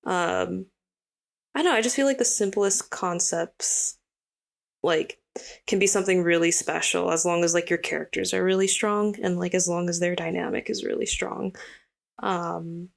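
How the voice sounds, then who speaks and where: A young woman from Hawaii, a guest artist, chatting casually in an interview with a relaxed, rambling manner and lots of fillers. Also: on the low side, normal speed, gravelly.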